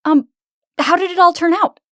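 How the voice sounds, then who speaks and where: A woman's voice: very high-pitched, steady tone, moderate pace, no room sound, clean studio sound. A woman playing a bewildered, blunt fairy-tale princess in a comic dub. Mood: puzzled.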